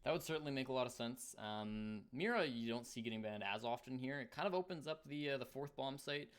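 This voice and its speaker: A Canadian man casts a live esports match at a brisk clip. His delivery is conversational and analytical, with a mid-range voice. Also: medium pitch, expressive, smooth. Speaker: esports play-by-play caster.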